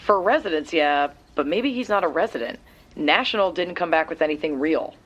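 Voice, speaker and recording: An actress playing a matter-of-fact young woman who handles lab and research work, speaking briskly. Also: deep voice, expressive. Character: quick, techy young woman friend doing lab research. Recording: dull, lo-fi sound.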